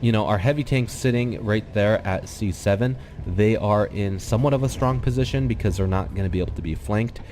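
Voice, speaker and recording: A man with a slightly nasal, congested voice calmly explaining a game replay to his viewers on a desktop microphone, thinking aloud with the odd um. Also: lowish voice, a little fast, hardly any pauses, slight background noise. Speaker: gaming channel host. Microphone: desktop USB microphone.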